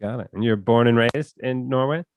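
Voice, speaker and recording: A man's voice: mid-range voice, normal speed, no echo. Male podcast host, casual delivery. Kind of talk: casual podcast interview and intro.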